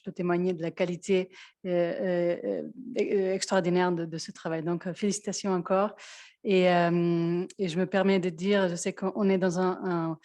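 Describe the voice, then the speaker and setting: A woman's voice: on the low side, a little mellow, dry recording. A woman whose first language is Portuguese, moderating a webinar over a video call, hesitant with many fillers. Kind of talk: closing remarks.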